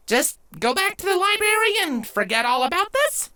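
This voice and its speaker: A man's voice: extremely high pitch, sing-song, moderate pace, pushed and bright, voice pushed up, heavily acted. A man voicing a worried, timid young princess filly with an anxious, questioning way of talking in a storybook reading. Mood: anxious, hesitant.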